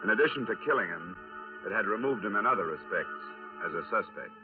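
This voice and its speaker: Low-voiced hardboiled radio detective acted by a man. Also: animated, quick pace, natural voice. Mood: matter-of-fact. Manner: clipped, matter-of-fact. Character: terse, probing male insurance investigator narrating his case. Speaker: lead actor and narrator. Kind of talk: 1950s radio crime drama acting, hardboiled narration. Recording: restored 1950s radio, narrow band, faint music.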